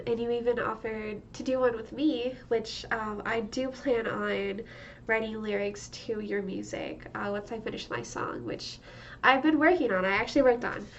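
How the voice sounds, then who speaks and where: A young woman with a blocked-up, congested nose, talking loosely through a monthly check-in vlog. She rambles with plenty of fillers and breaks into laughs. Her slightly high voice sits back from the mic in a muffled home recording with faint room noise. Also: distant mic. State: stuffed up, congested. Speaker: vlogger.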